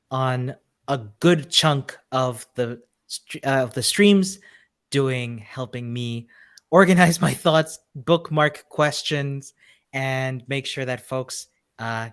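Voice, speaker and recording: A man's voice: mid-range voice, a bit bright. A man co-hosting a livestream, talking in an easygoing, encouraging way with many fillers. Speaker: co-host. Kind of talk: casual livestream chat.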